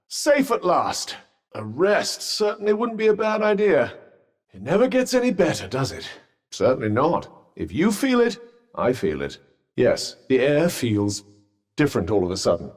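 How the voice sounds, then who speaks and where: A man's voice: mid-range voice, big-room sound. An actor playing a dry-witted, sardonic male magic bracelet in a video game, speaking with posh understatement. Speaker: companion character. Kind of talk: game voice lines.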